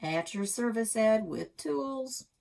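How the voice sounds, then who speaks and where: A man's voice: sits high, lively intonation, slow, gravelly, darkish tone, voice pushed up, heavily acted. A woman voicing a jaunty old handyman in a storybook, with a folksy, complaining manner. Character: creepy whiskered old handyman, jaunty and grumbling.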